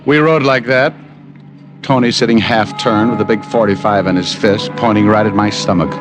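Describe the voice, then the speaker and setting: An actor playing a sardonic private detective in an old radio drama, trading wisecracks and narrating in a hardboiled style. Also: male voice, lowish voice, fairly even intonation, normal speed, close to an everyday voice. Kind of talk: 1950s radio drama acting. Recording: old radio broadcast with music.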